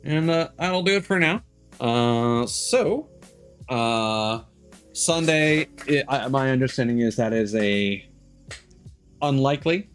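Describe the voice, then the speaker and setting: A man chatting casually as he hosts a game stream, rambling and halting, with stammers and offhand swearing. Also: mid-range voice, animated. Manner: casually profane. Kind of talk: casual livestream chat. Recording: music playing behind the voice.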